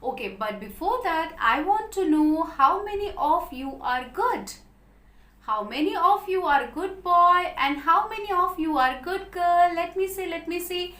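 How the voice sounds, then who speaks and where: High, animated Indian woman teaching children. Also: a little slow. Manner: sing-song, repetitive, frequent checking questions. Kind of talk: storytelling lesson addressed to young children. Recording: roomy, echoing sound.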